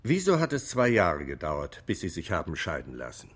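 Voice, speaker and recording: An earnest courtroom lawyer in a radio drama. His pitch moves widely as he makes his points, formal and articulate. He sounds insistent and probing. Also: huge pitch swings, normal speed, a bit bright, breathy edge, exaggerated voice. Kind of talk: German radio drama acting, courtroom argument.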